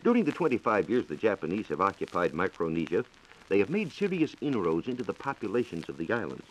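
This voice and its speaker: An American man giving a scripted 1940s radio science talk as a reporter, in a measured, formal lecturing manner. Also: on the low side, very animated, a little hiss or hum, poor audio. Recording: 1940s radio broadcast recording.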